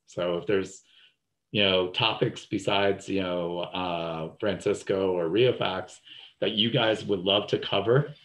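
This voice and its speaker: A man's voice: low voice, fairly even intonation, takes it slowly, fairly bright tone. A man with a New Jersey accent hosting a webinar over a video call, talking loosely with lots of fillers. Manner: loose, rambling, repeats fillers. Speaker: webinar host. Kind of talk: casual webinar talk.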